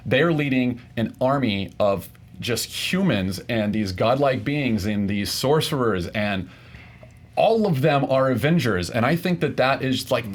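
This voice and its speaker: A man debating pop culture casually as a show contestant, making his case emphatically and repeating phrases for stress. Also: mid-range voice.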